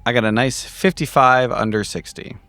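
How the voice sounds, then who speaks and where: A man's voice: mid-range voice, moderate pace, pushed and bright, no echo. A man playing a tabletop roleplaying game, improvising in character with casual, sweary, analytical talk.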